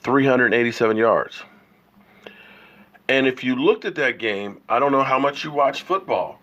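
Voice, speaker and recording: A man's voice: mid-range voice, normal speed, a bit bright, muffled recording. A man hosting a sports opinion livestream while he has a cold, arguing emphatically and firing off rhetorical questions. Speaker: sports show host.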